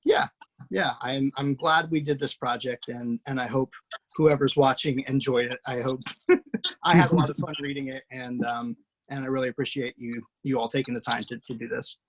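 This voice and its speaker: A man's voice: mid-range voice, fairly even intonation, moderate pace, low-quality recording. A man, the writer and host of an online table read, talking casually with many fillers and restarts over a video call.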